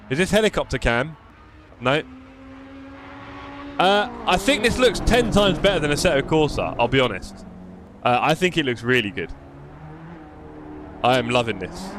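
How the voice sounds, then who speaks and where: Man, London accent, streamer, jokey banter, fairly high voice, racing game engines roaring behind. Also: noisy background. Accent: London English. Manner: chatty, jokey, reads chat aloud. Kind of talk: live stream chat while gaming.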